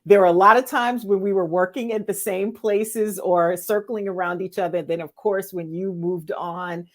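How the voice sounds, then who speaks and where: A woman's voice: mid-range voice, fairly even intonation, normal speed. A woman hosting a podcast interview, asking reflective questions. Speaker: podcast host.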